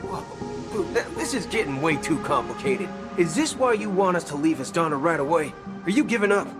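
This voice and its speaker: A man voicing a brash, folksy, excitable video game character with a colloquial, emphatic delivery. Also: on the high side, brisk, close to an everyday voice. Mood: startled, exasperated. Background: game soundtrack music.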